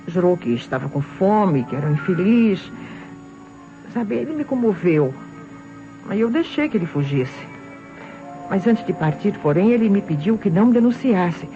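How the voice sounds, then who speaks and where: A woman's voice: on the low side, dark tone, natural voice. An actress playing an anxious, insistent married woman giving testimony to police, with a Brazilian accent and earnest old radio-drama delivery. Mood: pleading. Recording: dull vintage radio recording, faint music.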